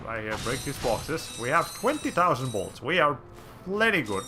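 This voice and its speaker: A male streamer with a raspy voice giving casual, rambling commentary while playing a game, often restarting his sentences. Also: mid-range voice, sing-song, normal speed, over music. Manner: rambling, chatty, frequent restarts.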